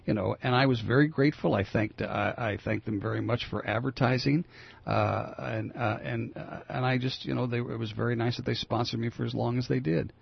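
An older man with a growly, gravelly voice hosting a casual talk radio show, rambling with many fillers and restarts. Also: medium pitch, steady tone, a little fast. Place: radio studio. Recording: dull lo-fi radio broadcast.

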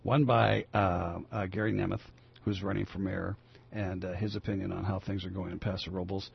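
An older man with a growly, gravelly voice hosting a casual talk radio show, rambling with many fillers and restarts. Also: sits low, level tone, normal speed. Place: radio studio. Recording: dull lo-fi radio broadcast.

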